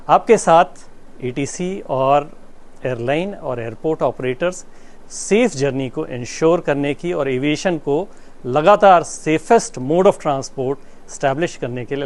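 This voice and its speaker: A man with an Indian accent giving a formal, explanatory address as a manager, in measured phrases with pauses mid-sentence. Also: on the high side, a little mellow. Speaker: air traffic control manager. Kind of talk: explanatory public address to camera. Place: inside an airport control tower.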